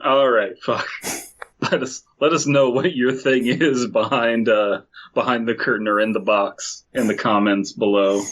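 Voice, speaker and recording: A man's voice: medium pitch, steady tone, measured pace, a bit bright, dull audio. A man co-hosting a podcast, riffing in casual comedic banter and swearing freely. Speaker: podcast co-host.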